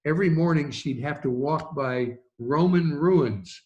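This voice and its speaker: An older man chatting casually and reminiscing in an unhurried, rambling anecdotal way. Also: on the high side, a little mellow, muffled recording. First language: English. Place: online video call.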